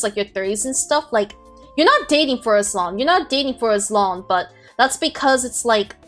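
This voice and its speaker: A woman in her twenties hosting a podcast, talking in a rambling, reflective way with many fillers and restarts. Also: on the high side. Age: 20s. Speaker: podcast host. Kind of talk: casual chat on a group call.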